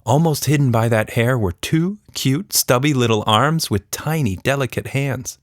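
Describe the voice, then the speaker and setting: A man's voice: medium pitch, normal speed, no room sound, clean studio sound. A man narrating a scripted children's story as a storyteller.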